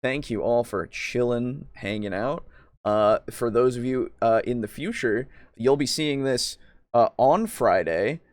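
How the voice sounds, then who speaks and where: A man's voice: medium pitch, lively intonation, unhurried, no echo. A man hosting a podcast in casual chat, with a playful, jokey manner. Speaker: podcast host. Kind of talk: casual chat, reading out comments.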